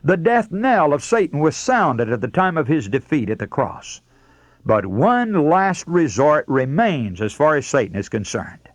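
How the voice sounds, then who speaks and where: A man's voice: on the high side, big pitch swings, a little slow, no echo. An American man preaching a radio sermon, speaking as the host in an earnest, emphatic, teaching manner. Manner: earnest, emphatic, didactic delivery. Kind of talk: radio sermon with scripture readings.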